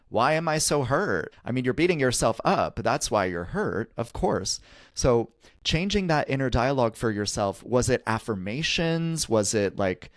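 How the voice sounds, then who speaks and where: A man's voice: fairly low pitch, dry recording, clean studio sound. A man hosting a podcast interview, speaking earnestly and asking reflective questions. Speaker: podcast host, attachment coach.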